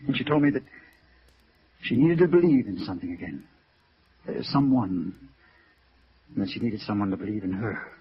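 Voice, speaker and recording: A man's voice: lowish voice, lively intonation, normal speed, pauses often, breathy edge, full character voice. An actor playing a lovestruck, stubborn and defensive man in 1950s radio drama, with an earnest, hesitant manner. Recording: dull vintage radio recording.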